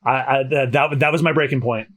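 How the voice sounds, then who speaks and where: A man hosting a casual podcast, chatty and slightly hoarse, often restarting his sentences. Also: mid-range voice, steady tone, brisk, fairly bright tone. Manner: chatty, self-correcting restarts. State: slightly hoarse after dental work. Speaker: podcast host.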